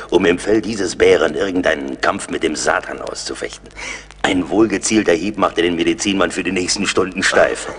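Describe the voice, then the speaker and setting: Very deep-voiced seasoned frontier scout man acting. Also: slight background noise, lightly acted. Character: seasoned frontier scout, loyal, resolute, wry. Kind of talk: German radio play acting.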